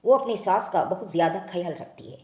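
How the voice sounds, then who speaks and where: A woman's voice: fairly low pitch, lively intonation, brisk, warm tone, roomy sound. A woman reading scripted narration for a children's-style cartoon story.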